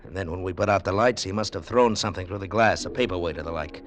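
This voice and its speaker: A man's voice: low voice, quick pace, a little hiss or hum, close to an everyday voice. Man playing a shrewd hard-boiled radio adventurer. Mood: relaxed, reflective. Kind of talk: 1940s-50s radio drama acting. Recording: vintage radio broadcast recording.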